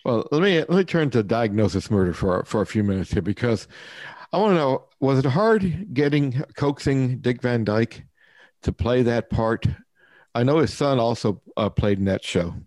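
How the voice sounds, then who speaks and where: A man hosting a podcast, asking questions in a friendly, halting way with many fillers. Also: medium pitch, lively intonation, a little mellow, no echo. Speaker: podcast host. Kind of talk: interview questions.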